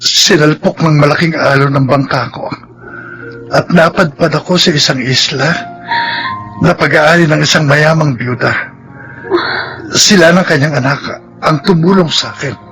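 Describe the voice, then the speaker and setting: A man's voice: on the high side, moderate pace, pauses often, a bit bright, faint music behind, natural voice, poor audio. A man playing an earnest, contrite and devout husband in a Filipino radio drama, speaking in a soothing dramatic way. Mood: earnest, reassuring.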